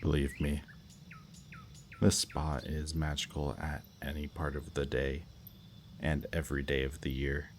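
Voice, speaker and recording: Casting: male actor as suave villain kidnapper for roleplay audio, extremely low voice, slow teasing menace, night birds and crickets behind. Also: level tone, a little hiss or hum. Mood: wistful, coaxing. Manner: teasing, unhurried, softly menacing. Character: villain kidnapper with powers, smug, flirtatious, falsely romantic. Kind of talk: roleplay audio drama, intimate monologue to the listener. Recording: outdoor night bird and insect ambience.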